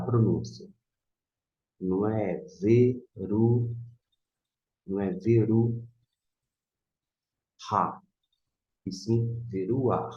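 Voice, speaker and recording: A man's voice: fairly low pitch, mellow. A man with a Brazilian Portuguese accent giving an informal tutorial as a language teacher, didactically repeating and sounding out words. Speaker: Biblical Hebrew teacher. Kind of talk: informal screen tutorial lesson. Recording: muffled, echoey room.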